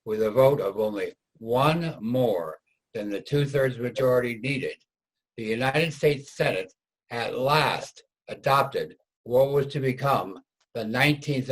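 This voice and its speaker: A man narrates a scripted reader's theater piece. His voice is medium in pitch and somewhat husky. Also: a little slow, poor audio.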